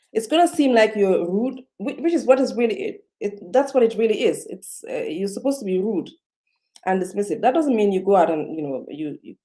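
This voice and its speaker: A woman's voice: mid-range voice, dark tone. A woman speaking English as a second language, talking to camera in an unscripted self-help video, hesitant with many fillers and restarts. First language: not English. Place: indoors, seated. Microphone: webcam or computer mic.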